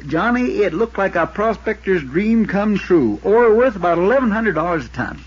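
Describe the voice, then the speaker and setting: A man playing a folksy, talkative Arizona insurance man with a drawl and a husky voice, in 1950s radio drama style. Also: sits high, very animated, normal speed, full character voice. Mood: insistent, explanatory. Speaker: supporting actor. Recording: dull 1950s radio recording.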